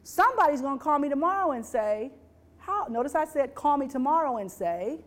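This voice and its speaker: A woman with a high voice gives a talk to a room of listeners. She builds her points through repetition and direct questions to the audience, and drops into quick impressions of the people she quotes. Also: animated. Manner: rhetorical, repeats phrases, asks the audience questions. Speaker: speaker on workplace diversity. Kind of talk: presentation to an audience.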